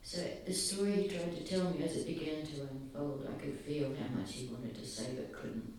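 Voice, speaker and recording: Soft-spoken man in an echoing hall. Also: a little high, expressive, slight background noise. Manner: earnest, halting, pausing often. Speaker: speaker at a gathering. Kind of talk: reflective public talk. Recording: very echoey, distant, faint ambience.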